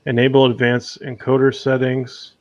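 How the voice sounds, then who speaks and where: Male gamer type, muffled lo-fi USB mic, hesitant, mid-pitched. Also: completely flat delivery, moderate pace, darkish tone. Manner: hesitant, reads settings aloud. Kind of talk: casual tech chat.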